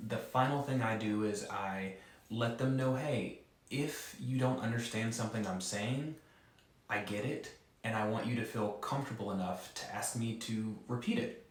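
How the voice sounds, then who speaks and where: A man's voice: on the low side, normal speed, heavy reverb. A man who stutters gives an earnest, direct instructional talk as a presenter for an advocacy group.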